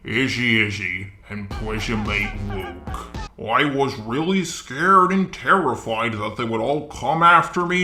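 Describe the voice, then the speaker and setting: A synthetic, robotic man's voice reading scripted commentary in a stiff, deadpan way. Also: mid-range voice, reverberant. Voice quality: synthetic robotic text-to-speech voice. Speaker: YouTuber commentator. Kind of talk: scripted drama commentary narration.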